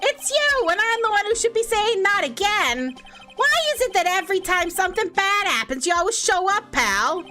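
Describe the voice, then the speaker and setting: A woman voicing a gruff, blustering male police detective who keeps calling people pal. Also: very high voice, very animated, moderate pace, sharp tone, faint music behind, pitched above the performer's own voice, full character voice. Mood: indignant.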